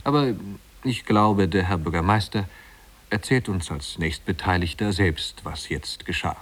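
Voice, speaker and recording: An actor as a composed storyteller, narrating a literary tale in a measured, wry way in a 1950s radio play. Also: male voice, sits low, a bit bright. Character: composed male storyteller of a satirical small-town tale.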